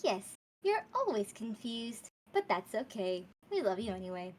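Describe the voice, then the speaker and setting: A woman's voice: fairly high pitch, sing-song, normal speed, darkish tone. A woman playing a condescending, breezily dismissive tour guide in a script read-through. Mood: soothing, condescending.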